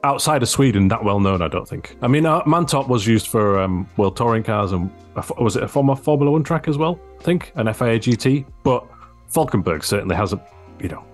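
A man's voice: mid-range voice, animated, fairly quick, faint music behind. A young man hosting a podcast, chatty and enthusiastic, talking into a large microphone over a video call. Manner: chatty, enthusiastic, asks leading questions. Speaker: podcast host. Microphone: large studio microphone on a video call.

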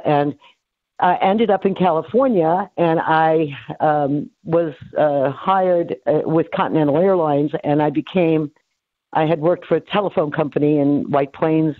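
A woman's voice: sits low, no echo. Woman in her seventies, from New York City, rambling guest, tinny phone-like line, dry. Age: 70s. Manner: rambling, full of fillers and restarts. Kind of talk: casual interview, life story. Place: home office. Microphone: headset on a video call.

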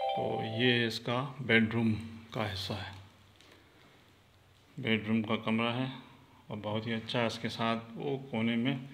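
A man walking through a farmhouse with his phone camera, pointing things out in a relaxed, plain way. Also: lowish voice. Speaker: vlogger giving a property tour. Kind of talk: casual walkthrough narration. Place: outdoors and indoors at a farmhouse. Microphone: phone camera held by the speaker.

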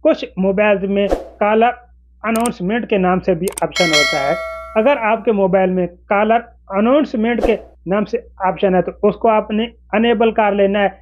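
A man's voice: high-pitched, normal speed, a little hiss or hum. A man in his forties explaining phone settings step by step to camera in an instructional manner. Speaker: tech tutorial presenter. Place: small indoor room with desk.

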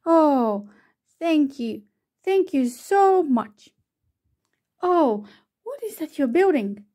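An eager, bossy but helpful storybook owl acted out by a woman, high and very animated. It gushes and boasts, taking its time over the words. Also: drawn-out words, heavily acted. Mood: excited. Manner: excitable, gushing, boastful. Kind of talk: children's storybook read-aloud.

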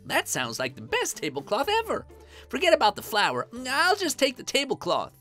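A woman's voice: a little high, huge pitch swings, moderate pace, fairly bright tone, soft background music, voice pushed up. A woman voicing a hot-headed, naive young boy in a children's folktale, exclaiming in an eager, whiny way.

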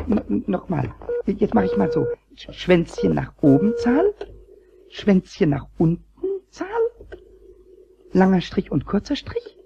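An actor playing a mischievous childlike kobold in a children's radio play, raspy and croaky, stammering and repeating words when excited. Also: male voice, high-pitched, a little hiss or hum, full character voice. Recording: dull old cassette recording.

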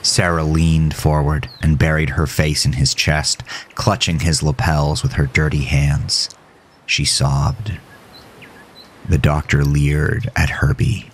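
Deep-voiced guy reading a horror story, solemn, unhurried, noise behind him. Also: flat delivery. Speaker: narrator. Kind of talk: scripted horror story narration.

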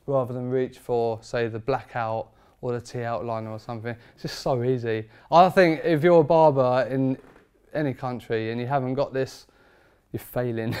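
A man's voice: mid-range voice, a little mellow, smooth. A man, a barber chatting enthusiastically about trimmers in a barber shop. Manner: enthusiastic, emphatic, informal. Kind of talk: product review chat.

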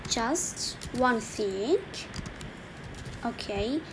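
A woman teaching an English lesson slowly, repeating each word and saying "okay" often, with keyboard typing faintly behind her. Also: on the high side, expressive, noisy background. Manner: slow, repetitive, saying each word twice with frequent "okay". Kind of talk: slow screen-recorded language lesson.

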